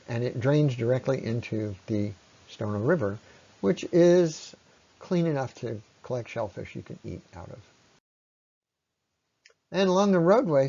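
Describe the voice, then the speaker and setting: A man narrating an informal slide presentation in an explanatory way, with halting pauses. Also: medium pitch, mellow, lo-fi sound. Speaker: presenter on local ecology.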